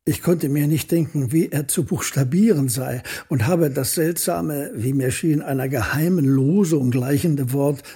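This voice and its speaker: A melancholy scholar in a literary radio play. The voice is very husky and breathy, soft and mellow in tone. He speaks in measured, formal sentences, reflecting on his own past. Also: on the high side, heavily acted. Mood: sombre, reflective. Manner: measured, contemplative, formal storytelling. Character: Austerlitz, melancholy reflective male architectural historian recounting his life. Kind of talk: literary radio drama monologue.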